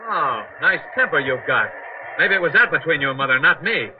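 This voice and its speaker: Man acting a brash high-voiced young tunnel digger. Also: lively intonation, a little fast, noisy background, natural voice. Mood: defiant. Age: young adult. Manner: brash, slangy. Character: cocky, impatient young tunnel digger, son of a veteran. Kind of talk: 1940s radio acting. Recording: muffled 1940s radio recording.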